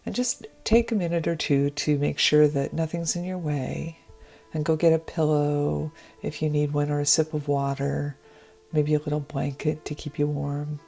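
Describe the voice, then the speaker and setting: A woman leading a guided meditation in a gentle, unhurried, breathy voice that often nears a whisper. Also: sits low, fairly even intonation. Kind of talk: guided meditation, slow soothing narration. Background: meditation music.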